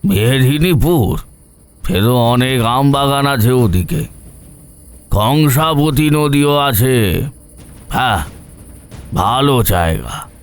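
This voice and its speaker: A man playing an old landlord in a horror audio story, eerily calm and measured, speaking with pauses. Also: medium pitch. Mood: quietly musing. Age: older.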